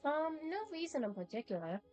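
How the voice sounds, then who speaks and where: Lively, higher-pitched woman livestreaming a game. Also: a little fast, muffled recording, loud music behind the voice. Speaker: game streamer. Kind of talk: casual livestream chat and reading game text aloud. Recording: home stream mic with faint noise.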